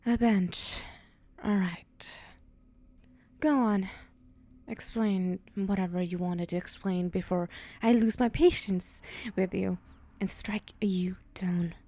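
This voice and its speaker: Woman playing a condescending female demon for ASMR roleplay: unhurried, dark and mellow, teasing menace. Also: mid-range voice, steady tone. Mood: disdainful, impatient. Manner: condescending, teasing, deliberate, with breathy whispered moments.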